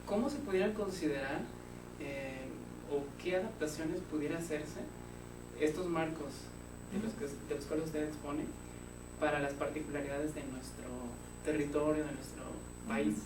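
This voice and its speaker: A man's voice: a little high, steady tone, normal speed. A man, a doctoral student, asking a question at a seminar, hesitant and searching for his words. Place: lecture hall. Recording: distant roomy mic with background noise.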